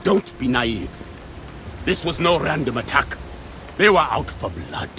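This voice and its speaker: Ruthless game gladiator: harsh, suspicious, deliberate pace, wildly swinging pitch. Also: male voice, very animated, sharp tone, a little hiss or hum. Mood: suspicious, grim. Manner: harsh, severe, declamatory. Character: ruthless, suspicious Roman gladiator bodyguard, quick to anger. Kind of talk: scripted video game acting.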